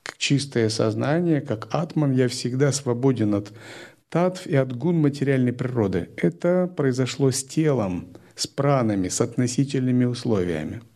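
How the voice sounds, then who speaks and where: Gravelly-voiced male spiritual teacher. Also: medium pitch, moderate pace. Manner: calm, methodical explaining. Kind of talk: spiritual lecture.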